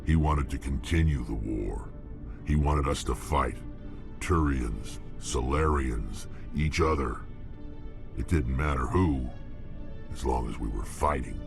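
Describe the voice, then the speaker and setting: Extremely deep, gravelly hulking alien brute, slow and blunt, game score behind. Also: male voice, full character voice. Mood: gruff. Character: old hulking male alien warlord, gruff and blunt. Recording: game audio with music under it.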